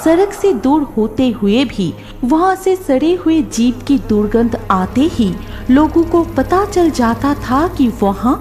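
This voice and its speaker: A woman's voice: high-pitched, lively intonation, slow pace, darkish tone, faint music behind. A woman narrating a scripted cartoon horror story in a dramatic storytelling manner.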